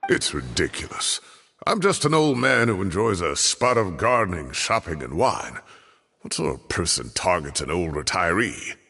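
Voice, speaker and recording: Gravelly, theatrical old scholar. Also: male voice, low-pitched, very animated, normal speed, sharp tone, long reverb tail, exaggerated voice. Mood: indignant. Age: older. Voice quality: gravelly, husky. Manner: theatrical, grumbling, musing. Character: genial, exasperated old retired male scholar. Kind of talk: video game voice acting.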